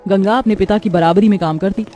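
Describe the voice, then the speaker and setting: A woman's voice: mid-range voice, steady tone, fast, dark tone, faint music behind. A woman narrating a scripted moral bedtime story as a dramatised storyteller.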